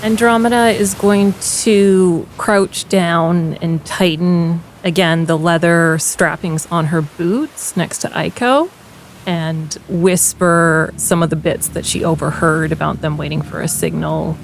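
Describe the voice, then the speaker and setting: A woman's voice: lowish voice, slow pace, creaky, a little hiss or hum, poor audio. A woman casually narrating her character's actions as a player in a fantasy tabletop game.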